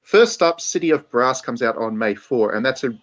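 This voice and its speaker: A man's voice: medium pitch, animated, moderate pace, dry recording. A man hosting a podcast, chatting casually about video games in a blunt, slangy, informal way. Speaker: podcast host. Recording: dull, lo-fi podcast audio.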